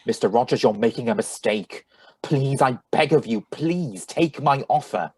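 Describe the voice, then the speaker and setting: A man's voice: mid-range voice, expressive, normal speed, darkish tone, husky, no echo. A man playing a shady, insistent little stranger in a radio play reading, formal and courteous with menace underneath. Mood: urgent, pleading.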